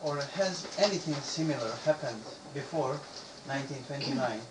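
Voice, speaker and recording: A man's voice: mid-range voice, normal speed. A man from Bosnia asking a speaker questions, hesitant, with many restarts and repeated words. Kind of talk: halting question from an audience member. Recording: echoey room, speaker far from the mic, clear background noise.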